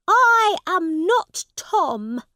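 A woman's voice: very high voice, sing-song, drawn-out words, pushed and bright, no echo, clean studio sound, pitched above the performer's own voice, heavily acted. A woman voicing an indignant young boy prince who insists on his rank, in a storybook reading. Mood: defiant. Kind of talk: storybook character voice.

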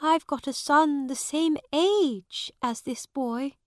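A woman voicing an anxious, soft-hearted mother who pleads for mercy in a storybook. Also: sits high, takes it slowly, no echo, clean studio sound, pitched above the performer's own voice. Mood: worried. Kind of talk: storybook character dialogue.

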